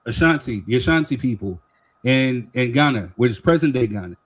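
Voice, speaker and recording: A man's voice: medium pitch, lively intonation, fairly quick. A man co-hosting a live stream talk show, explaining his view earnestly in a casual debate. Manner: earnest, explains with "you know what I'm saying".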